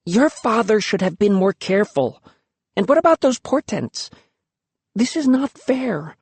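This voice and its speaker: A narrator voicing a spirited young village girl who teases and insists, speaking in a raised, lively voice. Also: medium pitch, unhurried, dry recording, pitched above the performer's own voice. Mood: insistent. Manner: playful, insistent.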